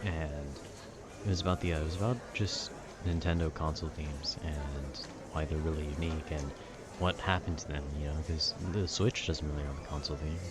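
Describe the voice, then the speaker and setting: A young man giving a calm, informal, wry rambling monologue about video games. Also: a bass voice, fairly quick, over loud music. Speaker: video creator.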